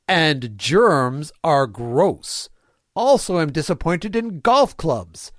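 A man performs a small-town mayor who is cheerfully evil and fussy about cleanliness. His voice sits a little high and swings expressively through clipped, folksy diary entries in a comic fan-fiction reading. The sound is dry and close. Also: no room sound. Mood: fussy, mildly peeved. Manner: folksy, prim, clipped list-like diary delivery. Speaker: fan audio drama voice actor.

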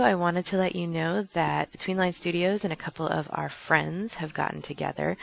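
A woman's voice: deep voice, vocal fry, dull audio. A woman hosting a podcast in a casual, rambling, chatty and self-deprecating way, recorded on a headset. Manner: chatty, self-deprecating, laughing at own slips. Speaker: podcast host.

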